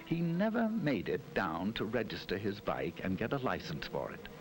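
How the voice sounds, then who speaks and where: A man's voice: on the low side, sing-song, normal speed, poor audio. A man giving scripted 1960s educational film narration in a wry, mock-solemn storytelling manner. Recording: narration over loud music.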